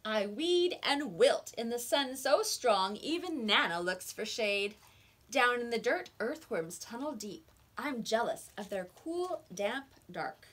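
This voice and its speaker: A woman reading picture books aloud to young children like a teacher, with expressive emphasis on the words. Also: on the high side, slow.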